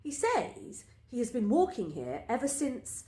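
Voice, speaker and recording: A woman gives an expressive, deliberate reading of a scripted short story. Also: fairly high pitch. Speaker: reader of short stories. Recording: echoey, roomy indoor sound.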